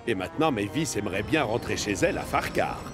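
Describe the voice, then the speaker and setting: A man's voice: lowish voice, expressive, a little fast, music in the background. A man narrating a children's cartoon in French, telling the story expressively.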